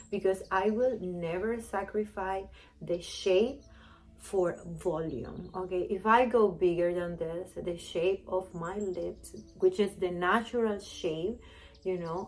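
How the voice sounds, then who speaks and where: A Panamanian woman in her thirties speaks English with her native Spanish accent, chatting openly to her viewers. She talks in a loose, rambling way full of fillers, and clear music plays behind her. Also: mid-range voice, poor audio. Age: 30s. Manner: chatty, candid, frequent fillers like "you know". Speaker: vlogger. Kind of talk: casual chat to camera.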